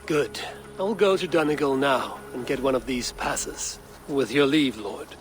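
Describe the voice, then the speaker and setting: A man's voice: mid-range voice, normal speed, slight background noise, natural voice. A man playing a resolute Norse warrior. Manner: calm, resolute, respectful.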